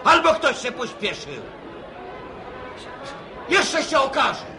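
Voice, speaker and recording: A jealous young village man, high-voiced and brusque, with wide swings of intonation. It is an old radio serial, with a crowd behind him. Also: very animated, takes it slowly, background noise, exaggerated voice. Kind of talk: Polish radio serial acting.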